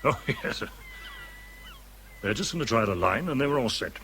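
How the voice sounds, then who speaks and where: An actor playing a kindly, avuncular officer in a radio drama, speaking with encouraging concern. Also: male voice, mid-range voice, sing-song, normal speed, pushed and bright, a little hiss or hum. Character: kindly, solicitous male officer in charge.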